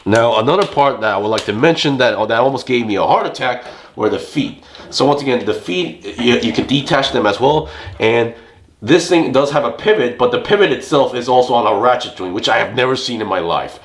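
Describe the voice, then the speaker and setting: Hoarse low-voiced man reviewing a toy. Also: reverberant, a little hiss or hum. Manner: chatty, talks while handling the figure. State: hoarse, losing his voice. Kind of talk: casual hands-on product review.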